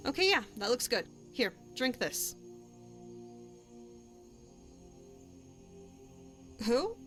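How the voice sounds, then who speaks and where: A woman's voice: fairly high pitch, very animated, normal speed, a bit bright. An actress voicing a young woman adventurer and hunter, a guilt-haunted but bold companion in a fantasy game, speaking in a natural, conversational way. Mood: confident, reassuring. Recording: music under the voice.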